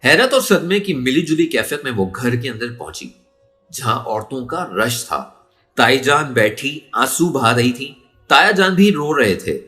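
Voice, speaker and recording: A man's voice: mid-range voice, big pitch swings, measured pace, sharp tone, faint music behind. A man narrating a story in a dramatic, emotive and suspenseful way. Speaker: audiobook narrator.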